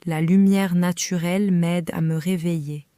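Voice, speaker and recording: Woman, learner reading, slow, mellow low voice, calm careful phrasing. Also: clean tone, dry recording, clean studio sound. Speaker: French reader for learners. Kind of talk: slow scripted reading for beginners.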